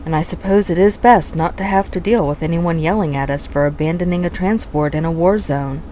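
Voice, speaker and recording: A woman's voice: on the low side, fairly quick, mellow, slight background noise, close to an everyday voice, low-quality recording. A woman playing a defiant, sarcastic fighter pilot who argues with her commander in a fan audio drama. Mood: sardonic. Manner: sarcastic, argumentative.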